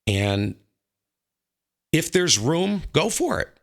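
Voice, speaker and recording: A man's voice: fairly high pitch, very animated, slow, vocal fry, fairly bright tone. An American man talking casually as a show host, giving his opinions emphatically and repeating points for stress. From northern United States. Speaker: host of a ham radio show. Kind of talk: casual opinionated conversation about radio operating.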